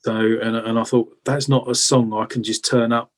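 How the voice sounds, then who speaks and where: A man's voice: medium pitch, level tone, moderate pace. A British man chatting casually and informally as a guitarist being interviewed, often adding tag questions. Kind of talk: casual chat about music-making.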